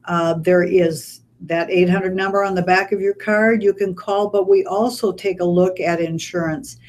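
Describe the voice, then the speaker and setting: A woman with a Midwestern American accent talks in a slightly low voice, laying out details in a steady, explanatory way. She is a speech pathologist sitting in a radio studio as a guest. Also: a little hiss or hum. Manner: measured, informative, explains step by step. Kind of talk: radio interview.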